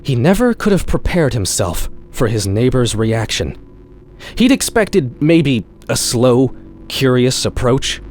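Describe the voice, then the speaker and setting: A man reading a horror-comedy short story aloud in an expressive, wry, profane style. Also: medium pitch, slow pace, slight background noise. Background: background music.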